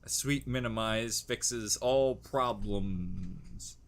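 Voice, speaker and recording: A man's voice: on the low side, drawn-out words. A man giving casual reaction commentary, joking crudely and exclaiming in exaggerated squeamishness. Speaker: reaction video host.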